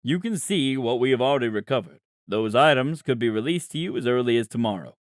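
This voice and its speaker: A man voicing an earnest father in an audiobook, speaking in a measured, official way. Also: medium pitch, expressive, dry recording, studio quality, natural voice. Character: earnest father pleading a restitution plan. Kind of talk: audiobook character voice.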